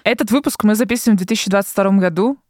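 A woman's voice: mid-range voice, rapid-fire, darkish tone, no echo, clean studio sound. A woman in her twenties hosting a podcast in casual, bantering conversation. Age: 20s. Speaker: podcast host. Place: indoor room.

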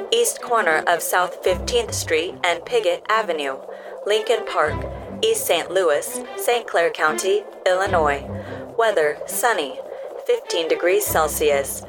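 A courteous health robot played by a woman from an audio drama, with a slightly low pitch. The speech is precise, formal and helpful, with music playing underneath. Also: close to an everyday voice. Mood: measured, official.

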